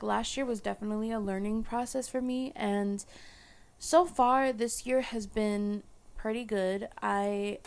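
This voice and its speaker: A young woman with a hoarse, failing voice, talking casually to her viewers with lots of fillers and restarts. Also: medium pitch. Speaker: content creator. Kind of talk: casual chat to camera.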